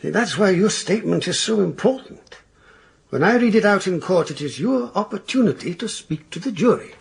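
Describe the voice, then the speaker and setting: An actor playing a fussy, hesitant Victorian defense attorney in a radio drama, speaking formally and haltingly with a husky, creaky voice. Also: male voice, sits high, lively intonation, exaggerated voice. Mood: earnest.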